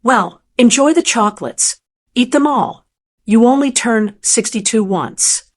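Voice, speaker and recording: A woman voicing a scheming daughter-in-law with a bright, polished, hollow tone and sugary fake concern. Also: fairly high pitch, lively intonation, a little slow.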